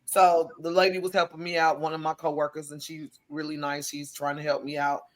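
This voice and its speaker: A tired woman podcast co-host with a slightly raspy voice, telling stories in casual chat and acting out her own exchanges. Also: deep voice, little pitch movement, a little fast. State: tired from overwork.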